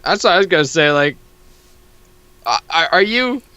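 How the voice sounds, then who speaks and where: A man's voice: a little high, very animated, normal speed, pushed and bright, no room sound, muffled recording. A man co-hosting a podcast in casual comedic banter, incredulous and prone to swearing. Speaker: podcast co-host.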